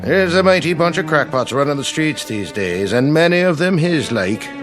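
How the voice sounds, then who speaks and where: An actor playing a gruff, skeptical desk sergeant in a radio drama, with a folksy, mocking manner. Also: male voice, a little high, expressive, normal speed, music in the background, natural voice. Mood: polite, wary. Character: gruff, sardonic desk police sergeant, world-weary.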